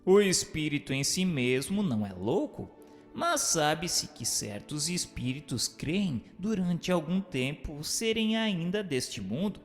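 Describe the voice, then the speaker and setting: Male narrator, formal and declamatory, very varied melody, reverberant sound over a light music bed. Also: fairly high pitch. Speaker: narrator reading questions and notes. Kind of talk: scripted narration of a spiritist book.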